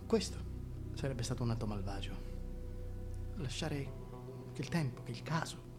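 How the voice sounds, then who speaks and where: An actor playing a brooding, murderous king in a theatrical monologue, speaking quietly and deliberately in broken phrases. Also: a little high, close to an everyday voice. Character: brooding murderous king coldly justifying killing children.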